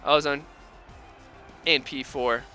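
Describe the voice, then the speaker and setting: Bright-voiced energetic racing commentator, man. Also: mid-range voice, slow, soft background music. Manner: energetic, upbeat, folksy. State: voice sore, losing his voice. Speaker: race commentator and host.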